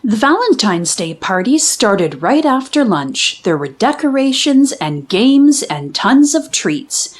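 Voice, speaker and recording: A woman reading a children's storybook aloud as an expressive narrator. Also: on the high side, slow, few pauses.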